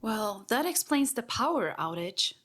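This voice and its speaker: A woman's voice: a little high, sing-song, measured pace, fairly bright tone, heavily acted. A woman playing an eccentric, curious tech-priest scholar, affectionate and a little nervous, in a sci-fi audio drama. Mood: relieved.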